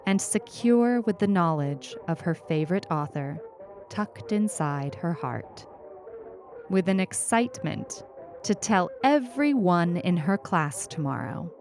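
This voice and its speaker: A woman reading a scripted short story as narrator, deliberate and expressive. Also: lowish voice. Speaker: story reader. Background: music.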